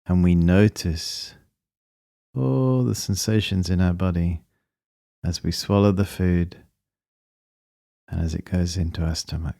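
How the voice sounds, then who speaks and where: A man with a deep, soft-edged, mellow voice guides a meditation. He gives gentle instructions calmly and very slowly, in a measured way. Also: gravelly, no room sound, clean studio sound.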